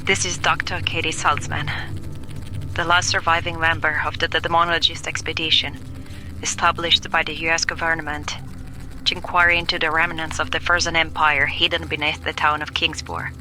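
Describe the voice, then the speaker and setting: A woman's voice: low-pitched, little pitch movement, moderate pace, bright, forceful tone. An actress playing a frightened 1940s woman doctor who records a grave, urgent warning in an audio drama, often dropping into whispers. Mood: urgent, fearful. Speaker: expedition demonologist. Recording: noisy old reel-to-reel tape recording.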